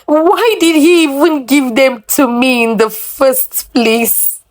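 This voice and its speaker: A woman storyteller voicing a devout, grief-stricken mother who questions and pleads in drawn-out phrases. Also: high-pitched, pitched above the performer's own voice. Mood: anguished, pleading.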